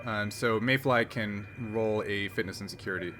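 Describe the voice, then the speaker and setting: Man, game master, bright tense tone, slightly low, faint hiss behind, reads rules with ums. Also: normal speed. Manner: reads rules aloud, hesitant with fillers. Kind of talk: running a tabletop game.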